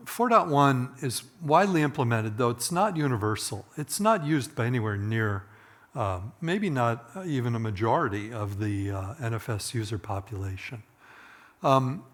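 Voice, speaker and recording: Slightly hoarse man giving a conference talk. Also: medium pitch. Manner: conversational, thinking aloud with hedged asides. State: voice getting hoarse. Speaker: conference presenter. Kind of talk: informal technical conference talk.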